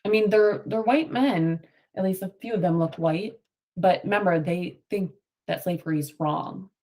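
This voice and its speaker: A woman's voice: on the low side, normal speed, warm tone. A woman teacher reading a picture book aloud to children and prompting them with questions, affirming their answers.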